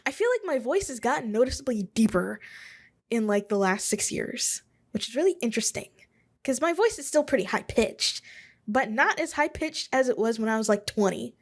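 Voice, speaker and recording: Young woman host, solo podcast chat from a home office, rambly and self-mocking, voice a bit high. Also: lively intonation, normal speed. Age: 20s. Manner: rambling and self-deprecating, with chuckles and asides.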